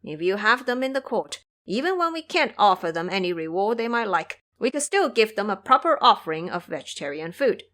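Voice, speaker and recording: A woman's voice: medium pitch, big pitch swings, a little fast, hardly any pauses, fairly bright tone. Woman voicing an imperious, emotional storybook king. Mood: chiding. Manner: grand, formal, commanding. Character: distraught, pleading, imperious king. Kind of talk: audio drama character acting.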